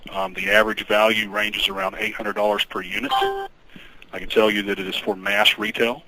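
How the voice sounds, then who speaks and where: A man speaking as a company executive, answering questions on an investor conference call in a measured, formal, guarded way. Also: lowish voice, sing-song. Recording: noisy conference call recording.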